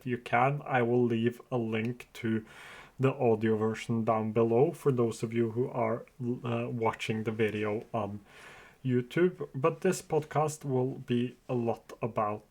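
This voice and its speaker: A man's voice: medium pitch, darkish tone. A man with a Norwegian accent who speaks Norwegian and Farsi natively, hosting his own podcast in an earnest, open and slightly hesitant monologue. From Norway. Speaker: podcast host. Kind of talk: casual solo podcast monologue.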